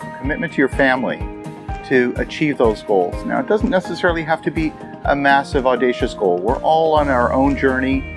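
A man hosting a podcast, speaking in an upbeat, motivational way straight to his listeners. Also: medium pitch. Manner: upbeat, motivational, direct address. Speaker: podcast host. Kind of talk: podcast monologue and interview.

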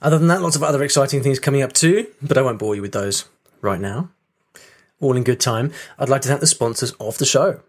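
A man hosts his podcast alone, rambling along in a chatty, easygoing way. His voice sits at an ordinary middle pitch for a man, and he often stops and restarts a thought. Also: brisk. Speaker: podcast host. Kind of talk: casual solo podcast chat. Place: home room with rain on the windows.

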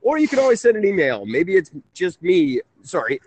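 A man hosting a conversational call-in radio show, halting and often interrupting himself. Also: sits high, huge pitch swings. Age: 30s.

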